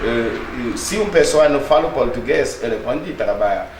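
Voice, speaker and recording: A Haitian man with a Haitian Creole accent answering interview questions in halting words, often searching for the right one. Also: mid-range voice, animated, echoey room, noisy background.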